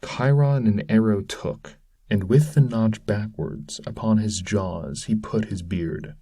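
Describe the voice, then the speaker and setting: Slow, mellow man reading epic poetry. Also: fairly low pitch, husky. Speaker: reader. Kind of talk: dramatic poetry reading, scripted narration.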